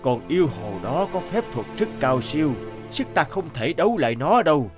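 Casting: old bearded mountain deity, stern, benevolent, grave warnings, children's animation, music behind. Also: male voice, fairly high pitch, sing-song, normal speed. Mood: solemn. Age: older. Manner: solemn, admonishing. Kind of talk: children's fairy-tale cartoon dubbing.

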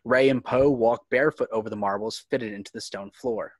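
A man's voice: medium pitch, fairly quick, no room sound. A man reading scripted screenplay narration, describing scenes and action. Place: indoors on a home video call.

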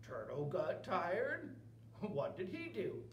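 A stern storybook warthog judge, voiced by a man, skeptical and fair. He questions deliberately and slowly in a roomy recording. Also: high-pitched, muffled recording, exaggerated voice. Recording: roomy with steady background noise.

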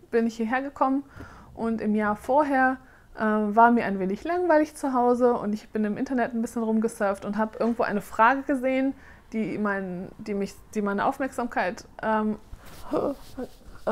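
A woman in her thirties from Germany, chatting casually on camera in a question-and-answer vlog and often pausing with fillers as she searches for words. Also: on the high side. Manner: chatty, hesitant with frequent fillers. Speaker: doll-making vlogger. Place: living room, seated on a sofa.

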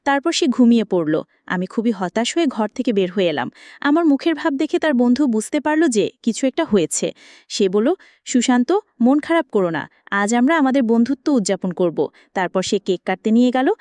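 High-pitched, animated woman narrating a story. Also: moderate pace, no echo. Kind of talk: scripted first-person romantic story narration.

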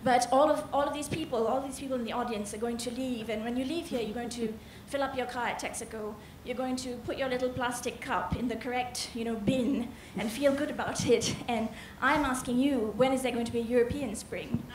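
A woman's voice: fairly high pitch, steady tone, fairly quick, hardly any pauses. A young woman from Malaysia speaking hesitantly into a handheld mic from the audience of a literary panel in a large hall. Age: young adult. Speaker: audience member asking a question. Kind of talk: spontaneous remarks at a literary panel. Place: large indoor conference hall. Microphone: handheld microphone. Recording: roomy, echoing auditorium with some noise.

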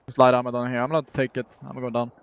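A man giving rapid, directive team callouts as an esports player, repeating his commands over a gaming headset. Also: mid-range voice, level tone, clean tone, muffled recording. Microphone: gaming headset team voice chat.

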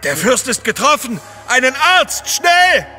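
An actor playing a stern, dutiful palace guard in an audio drama, barking in an official manner. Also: male voice, very high-pitched, big pitch swings, measured pace, bright, forceful tone, soft background music, exaggerated voice. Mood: alarmed.

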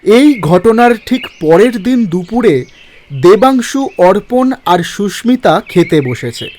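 A man narrating a horror story in a slow, measured, dramatic way. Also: sits high, big pitch swings, darkish tone. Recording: narration over background music.

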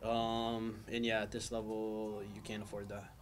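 A young man in his twenties, a soccer player answering press questions after a match in a measured, thoughtful way with frequent ums. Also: lowish voice, completely flat delivery. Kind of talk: post-match press interview. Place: small indoor press room, backdrop wall.

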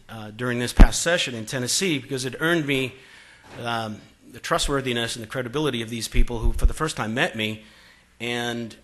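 A man's voice: mid-range voice, pushed and bright. A man in his forties from Boston gives a talk at a conference podium, telling stories in a conversational way with many fillers and acting out quoted exchanges. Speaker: conference speaker. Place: conference room. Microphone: podium microphone.

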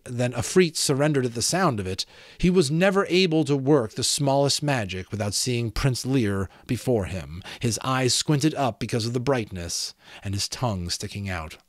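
Man, thirties, lowish voice, dramatic audiobook narration, emphatic storytelling, close mic, dry room. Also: a bit bright, dry recording. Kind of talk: expressive storybook reading of a fantasy novel. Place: small home room with sloped ceiling, indoors. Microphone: close desk microphone.

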